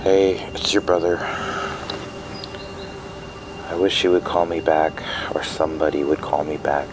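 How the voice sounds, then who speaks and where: An actor playing an anxious, bewildered middle-aged father, speaking haltingly in a naturalistic audio drama. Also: fairly even intonation. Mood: weary, confused. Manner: halting, hesitant, trailing off. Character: anxious, isolated, bewildered father leaving voicemails. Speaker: actor in a fiction podcast. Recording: noisy line with loud background rumble.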